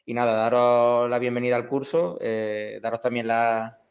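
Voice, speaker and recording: A man's voice: medium pitch, steady tone, normal speed, highs cut off. A man in his thirties from Córdoba with an Andalusian accent, introducing an online exam preparation course with many fillers and restarts. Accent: Andalusian Spanish. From Córdoba, Spain. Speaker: exam prep teacher.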